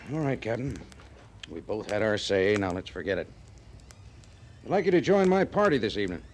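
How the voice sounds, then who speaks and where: A commanding but weary frontier army major in a radio western. He speaks in a fairly low voice, deliberate and formal, firm about duty. The vintage recording has slight noise. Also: very animated. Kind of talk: 1950s radio western acting.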